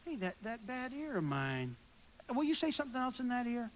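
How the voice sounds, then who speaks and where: A man's voice: very high-pitched, very animated, a little fast, a little hiss or hum. An actor playing a despairing, sardonic family man in a live radio play, wry and weary, sometimes stammering. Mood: bewildered, wry.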